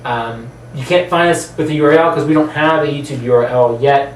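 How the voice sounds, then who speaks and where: A man chats casually as the host of a video channel, rambling a little in an informal way. His voice has an ordinary male pitch. It is picked up from a distance in a roomy, echoing space. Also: a little fast. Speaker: host of a video channel about films and games. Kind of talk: casual chat. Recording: roomy echo, distant mic, faint noise.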